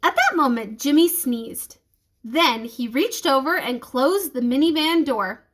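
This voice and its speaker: A woman's voice: sits high, lively intonation, measured pace, a bit bright. A woman reading a children's book aloud, expressively and speaking directly to young listeners.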